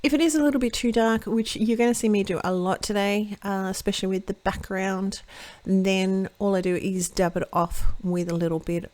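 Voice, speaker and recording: A woman hosting a watercolour card-making tutorial, chatting through each step as she paints and often correcting herself mid-sentence, her voice a little croaky. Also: medium pitch, steady tone, normal speed, few pauses, darkish tone, no room sound. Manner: chatty, self-correcting, thinks aloud while painting. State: slightly croaky voice. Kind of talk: casual step-by-step craft demonstration.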